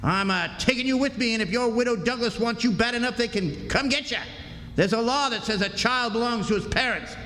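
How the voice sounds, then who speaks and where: A man's voice: very high-pitched, a little fast, pushed and bright. An actor playing a sneering, greedy old backwoods father who scolds in a folksy rustic drawl, in live radio drama style. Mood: threatening. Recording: echoey hall, live stage, hissy background.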